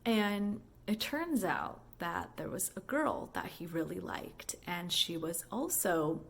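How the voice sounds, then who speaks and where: A woman's voice: fairly low pitch, expressive, slow pace, a bit bright. A woman talking in a casual, chatty monologue like a voicemail, musing and conversational with lots of filler words such as "like". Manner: musing, conversational, heavy use of "like" and "you know". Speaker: high school English teacher and book fan.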